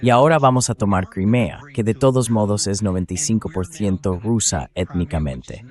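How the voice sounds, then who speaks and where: A man's synthetic voice-over reading translated political commentary in a measured, explanatory way, as an interview guest. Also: lowish voice, a little mellow.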